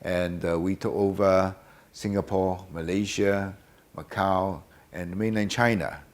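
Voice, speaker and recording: A man of about seventy, answering interview questions hesitantly with many filler pauses. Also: low voice, fairly even intonation. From Hong Kong. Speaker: guest.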